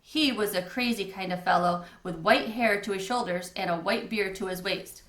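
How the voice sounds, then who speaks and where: A woman's voice: medium pitch, moderate pace, fairly bright tone, roomy sound, natural voice. A woman voicing a serious male camp director who declaims a spooky campfire legend from a storybook. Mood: dramatic.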